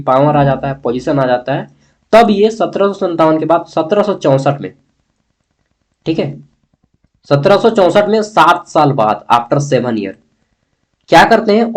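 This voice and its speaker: A man with an eastern Indian Hindi accent from the Bihar-Jharkhand belt teaches history informally, repeating points and answering his own questions. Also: a little high. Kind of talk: informal exam-prep lesson, explaining a text.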